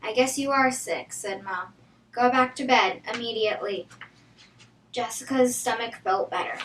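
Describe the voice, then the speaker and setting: A woman's voice: a little high, normal speed, fairly bright tone, a little hiss or hum. A woman reading a children's story aloud from a book. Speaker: storybook reader. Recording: echoey room, distant from the microphone.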